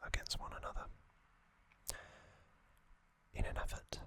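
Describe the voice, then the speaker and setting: An ASMR host whispering a relaxed trivia recap, soft and unhurried, with hesitant fillers and small self-corrections. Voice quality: fully whispered, breathy.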